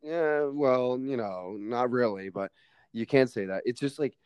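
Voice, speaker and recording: A man's voice: mid-range voice, a little slow, a little mellow, clear, resonant tone, no echo. A young man in casual podcast conversation, talking emphatically with plenty of fillers and casual swearing. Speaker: podcast guest. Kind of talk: casual chat.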